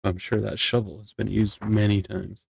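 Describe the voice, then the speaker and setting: Man, low register, playful casual gamer talk among friends. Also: little pitch movement, moderate pace, vocal fry, slightly rough. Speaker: player in a Minecraft roleplay group. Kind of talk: casual gaming chat.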